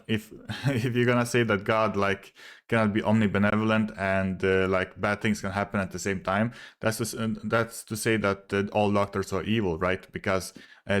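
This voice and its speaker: A man whose first language is not English, casually arguing a point in a video, hesitant with many fillers and restarts, often checking in with "right?". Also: fairly low pitch. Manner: explains step by step, often asks "right?". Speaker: video creator responding to a commenter. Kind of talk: casual argumentative commentary.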